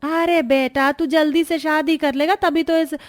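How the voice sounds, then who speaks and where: A woman's voice: high voice, fairly even intonation, measured pace, faint music behind, pitched above the performer's own voice. A woman voicing nagging older mothers and aunts in a story, pleading and scolding a grown son. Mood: exasperated nagging.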